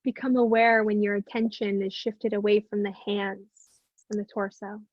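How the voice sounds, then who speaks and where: A woman teaching meditation, speaking gently and unhurriedly with long pauses in soothing guided instruction. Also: mid-range voice, level tone, no room sound, dull audio.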